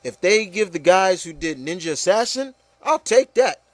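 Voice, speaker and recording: A man's voice: sits high, big pitch swings, moderate pace, dry recording. A man hosting a casual, opinionated discussion, speaking emphatically and repeating phrases to drive points home. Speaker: podcast host.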